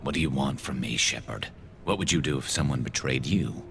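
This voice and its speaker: Very deep, gravelly vengeful alien soldier. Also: male voice, brisk, noisy background. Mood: bitter, defensive. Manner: clipped, grim, sardonic. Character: vengeful, embittered male alien soldier, weary and hard-edged. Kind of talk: video game dramatic dialogue.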